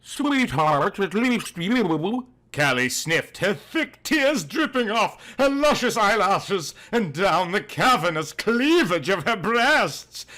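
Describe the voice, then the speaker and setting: Animated bright-voiced male comic narrator. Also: high voice, slow, hardly any pauses. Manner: theatrical, overemphatic. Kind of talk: mock-serious comic audiobook narration.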